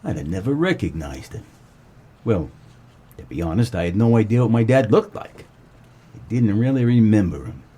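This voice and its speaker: An actor playing a wry, world-weary 1940s private eye, narrating a comedic noir radio play. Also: male voice, lowish voice, moderate pace, long pauses, husky. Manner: wry, deadpan, colloquial.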